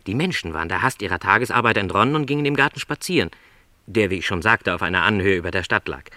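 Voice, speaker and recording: Wistful middle-aged traveller, lively pitch swings. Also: male voice, fast talker, no echo, clean studio sound. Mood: elated, rapt. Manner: reflective, rhapsodic storytelling in careful, literary phrasing. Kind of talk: literary radio drama dialogue.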